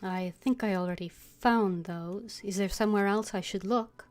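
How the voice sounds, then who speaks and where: A woman's voice: medium pitch, normal speed, a little mellow. A woman game streamer, switching between voicing her character's lines and casual commentary on her play. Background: faint game music.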